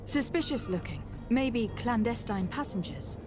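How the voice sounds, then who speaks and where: A woman's voice: fairly high pitch, lively intonation, a little slow, music in the background. A woman playing a poised young noblewoman envoy in a video game, formal, composed and direct. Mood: inquiring.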